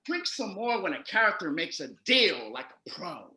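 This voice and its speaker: A man acts out an excitable old-world German storyteller for a comic stage show. His voice is piercingly bright and high, with an emphatic, scolding showman's delivery, heard in an echoey room. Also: normal speed, exaggerated voice. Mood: upbeat, presenting. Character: Wilhelm Grimm, excitable German storyteller brother of fairy tales. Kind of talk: comedic stage patter and rhymed storytelling. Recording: roomy, echoey home recording.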